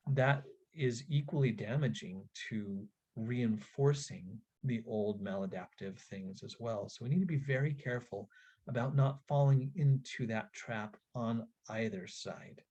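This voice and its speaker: Male webinar presenter, husky and mellow, earnest and deliberate, instructing parents. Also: medium pitch. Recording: dull, lo-fi webinar audio.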